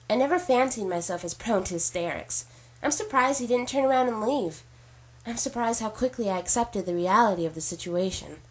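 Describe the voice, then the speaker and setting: A woman's voice: mid-range voice, breathy edge. A woman playing a stressed, skeptical heir in an audio drama, incredulous and defiant in manner. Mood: reflective. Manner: incredulous, combative, sarcastic.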